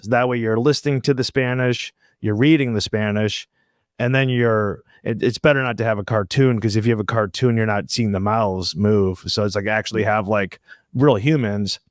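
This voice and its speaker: A man's voice: mid-range voice, no echo, clean studio sound. A man hosting a podcast, chatting casually and informally with plenty of "like" and "you know". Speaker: podcast host. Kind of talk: casual chat.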